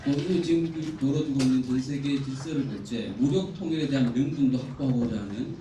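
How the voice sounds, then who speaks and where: A man giving a formal, measured academic presentation from slides at a conference, explaining point by point. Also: medium pitch. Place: indoor conference hall. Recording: echoey hall, distant mic, faint noise.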